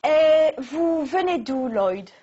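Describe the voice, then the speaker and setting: A woman interviewer asking gentle, patient questions. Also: high-pitched, animated, takes it slowly, lo-fi sound.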